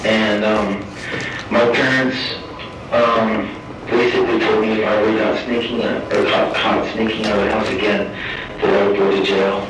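Soft, flat-voiced man in an echoey room. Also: lowish voice, over loud music. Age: 40s. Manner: halting, soft-spoken, repeats phrases, says sir. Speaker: prison inmate at a parole hearing. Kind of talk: formal hearing testimony. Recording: echoey distant room with noise.

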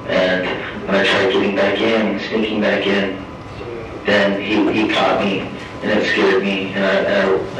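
A man in his forties, an inmate speaking at a parole hearing, halting and soft-spoken, often repeating himself. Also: low-pitched. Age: 40s. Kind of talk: formal hearing testimony. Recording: echoey distant room with noise.

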